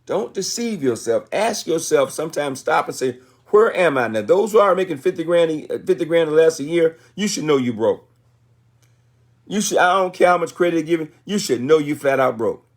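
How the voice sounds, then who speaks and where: A man's voice: a little high, lively intonation, normal speed. An American man in his sixties giving a motivational livestream talk in a folksy, colloquial way, repeating phrases for emphasis. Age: 60s. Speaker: motivational business speaker.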